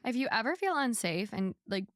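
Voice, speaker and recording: A woman's voice: a little high, very animated, brisk, no room sound, studio quality. A woman hosting a podcast, chatting casually and giving her opinions in an emphatic, outspoken way. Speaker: podcast host.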